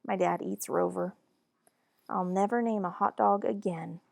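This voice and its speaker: A woman reading a children's storybook aloud in an expressive, deliberate and animated narration. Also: fairly low pitch, creaky, dry recording, clean studio sound. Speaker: children's book reader.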